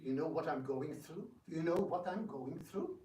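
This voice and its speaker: A man's voice: on the high side, expressive, normal speed, warm tone, distant mic, a little hiss or hum, natural voice. A man playing a bitter, resentful, grumbling prince in an amateur dramatic reading, in an exasperated theatrical manner. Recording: echoey room, picked up from afar.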